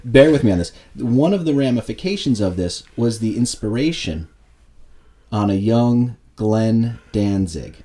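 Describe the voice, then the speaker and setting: A man co-hosting a podcast, chatting casually and telling jokey, animated stories. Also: on the low side, unhurried. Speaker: podcast co-host. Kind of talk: casual chat.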